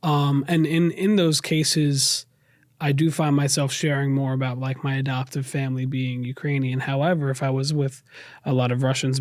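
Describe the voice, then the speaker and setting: A man's voice: medium pitch, a little mellow, no echo, studio quality. A man with a New York accent speaking earnestly and reflectively as an interview guest, often repeating and rephrasing as he goes. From raised in the United States, New York. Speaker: podcast guest. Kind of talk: reflective personal interview talk.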